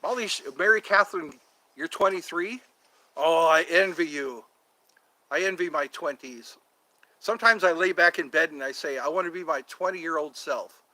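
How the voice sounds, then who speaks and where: A man's voice: on the high side, moderate pace, sharp tone, no room sound, rough recording. A man in his fifties hosting a casual livestream, chatting to viewers in a meandering way and slipping into quoted voices. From United States. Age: 50s. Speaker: livestream host.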